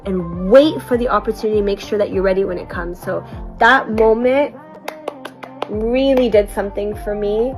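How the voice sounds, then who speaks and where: A woman in her thirties speaks earnestly and warmly about what a long journey taught her, her tone heartfelt and motivational. A clear music bed plays underneath her voice the whole time. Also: medium pitch, moderate pace. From Canada. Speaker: performer recapping her tour. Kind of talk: reflective personal vlog.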